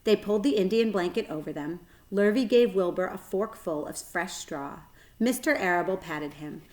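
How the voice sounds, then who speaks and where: A woman's voice: fairly low pitch, lively intonation, normal speed. A woman reading a children's story aloud as narrator, with expression.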